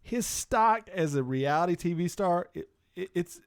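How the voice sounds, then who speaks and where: Animated male podcast host, close dry sound. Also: high voice, measured pace, smooth, no room sound. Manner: informal, swearing, opinionated, uses "dude" often. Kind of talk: casual talk show banter.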